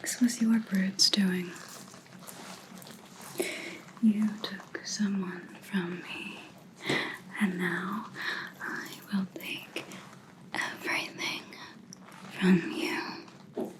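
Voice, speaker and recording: A woman's voice: mid-range voice, fairly even intonation, poor audio. A woman playing a grim, vengeful vampire hunter in an ASMR roleplay, whispering breathily and menacingly close to the microphone. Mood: bitter, vengeful. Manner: slow, deliberate, menacing.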